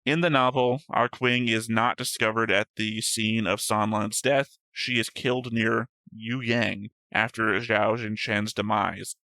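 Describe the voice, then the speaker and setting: A man with a bright, forward voice chats casually while reading through notes, stopping often to correct himself and swearing now and then. He is recorded dry and close on the mic, with no room sound. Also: fairly low pitch, fairly even intonation, measured pace, few pauses, no echo. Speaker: reaction show host reading notes.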